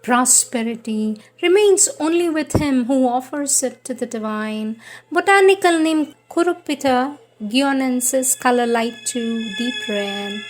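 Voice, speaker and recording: A woman reading a devotional script in a measured, solemn manner. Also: high-pitched, rough recording. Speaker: reader.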